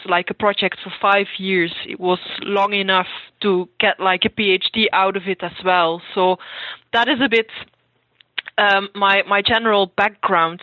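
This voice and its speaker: A woman's voice: mid-range voice, no echo. Woman on a tinny phone-like line. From Flanders, Belgium. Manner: hesitant with frequent ums and restarts. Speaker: lecturer in education. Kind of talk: interview answers.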